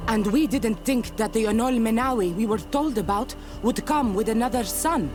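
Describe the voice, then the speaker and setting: An actress playing a fierce, vengeful native warrior woman in a video game, blunt and deliberate. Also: fairly high pitch, music in the background, close to an everyday voice. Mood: bitter, grim.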